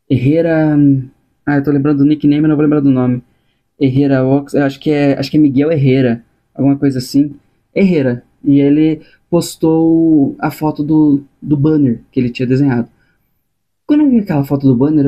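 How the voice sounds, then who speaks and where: Higher-voiced, mellow Brazilian man chatting. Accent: Brazilian Portuguese. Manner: informal, colloquial, thinking aloud. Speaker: animation supervisor. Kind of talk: casual personal vlog storytelling.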